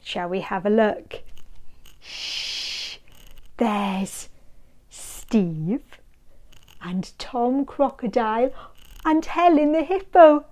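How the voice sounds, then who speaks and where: A woman reading a picture book aloud to small children, sing-song and inviting, drawing the listener in with questions and hushed counting. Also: on the high side, very slow, long pauses. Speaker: storybook reader.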